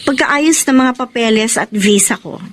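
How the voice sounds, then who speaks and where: A woman playing a kind, candid young sweetheart in a radio drama, gentle and a little hesitant, with a Filipino accent. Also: on the high side, lively intonation, moderate pace, heavy background noise, close to an everyday voice. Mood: affectionate. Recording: music under the voice.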